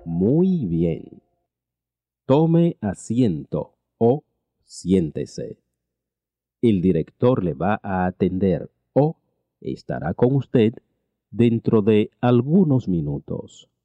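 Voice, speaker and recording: A man teaching a language lesson, reading each phrase slowly and repeating it twice. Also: fairly low pitch, big pitch swings, no room sound, narrow, tinny audio. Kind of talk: slow repeated translation drills.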